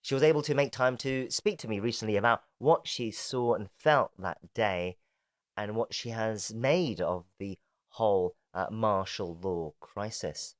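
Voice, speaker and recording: A man hosting a politics podcast, reading a measured scripted intro, then interviewing a guest. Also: on the low side, no echo, clean studio sound. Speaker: podcast host. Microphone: video call.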